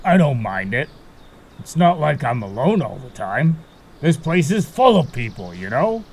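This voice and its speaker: A man's voice: medium pitch, very animated, measured pace, creaky, a little mellow, a little hiss or hum. A man voicing a carefree, cagey marsh fairy guide in an audio drama, talking in a breezy, casual way. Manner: breezy, casual, a bit evasive.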